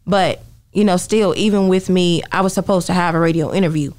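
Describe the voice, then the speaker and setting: A woman's voice: lowish voice, fairly even intonation, a little fast, darkish tone. A young woman speaks casually as an interview guest, close on a studio microphone. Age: young adult. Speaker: guest singer. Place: small podcast studio. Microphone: close studio microphone, headphones.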